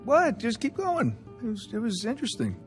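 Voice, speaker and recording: A man's voice: extremely high pitch, wildly swooping pitch, brisk, faint music behind, exaggerated voice. An actor playing a wisecracking, restless American husband in his thirties, talking casually and hesitantly, as in a video game cutscene. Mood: distracted, sheepish.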